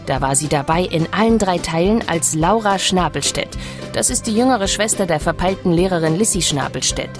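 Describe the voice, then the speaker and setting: A woman reading a scripted introduction of a guest as a smooth, even voice-over. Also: lowish voice, moderate pace. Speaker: announcer. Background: music.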